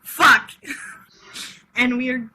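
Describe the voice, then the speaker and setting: A woman reading a novel aloud in an expressive voice, then chatting casually with laughs and some swearing. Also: a little high, moderate pace, husky. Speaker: reader.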